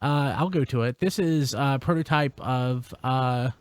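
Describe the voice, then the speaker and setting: A man hosting a casual podcast, chatting in an animated, exclamatory way. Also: medium pitch, measured pace, smooth, no echo. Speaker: podcast host. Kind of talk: casual toy-news podcast chat.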